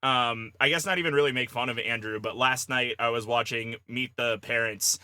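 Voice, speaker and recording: Bright-voiced man bantering on a podcast. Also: medium pitch, steady tone, moderate pace, clean tone, dry recording. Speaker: podcast panelist. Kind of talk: casual comedic panel chat.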